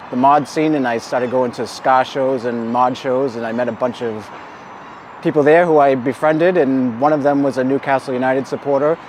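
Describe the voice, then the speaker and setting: A man's voice: medium pitch, background noise. A man in his forties, interviewed outdoors, telling how he became a fan in an easygoing, rambling way. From Boston, USA.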